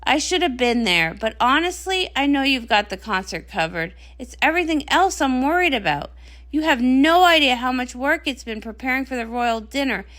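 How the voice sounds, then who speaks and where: Woman voicing an earnest, stressed pony princess, bright-toned. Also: sits high, expressive, normal speed. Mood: apologetic, stressed. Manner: apologetic, defensive. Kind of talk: comic read-along voice acting.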